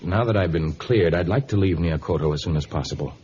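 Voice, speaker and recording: Man playing earnest jungle hero, very low mellow voice, halting polite questions, old radio drama. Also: lightly acted. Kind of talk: vintage radio drama acting. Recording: muffled, hissy old radio recording.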